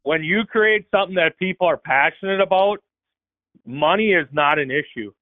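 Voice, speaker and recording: A man's voice: sits high, moderate pace, dry recording. A man calling in to a racing talk show, laying out his argument step by step. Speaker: caller. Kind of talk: casual call-in chat. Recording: dry, tinny phone line.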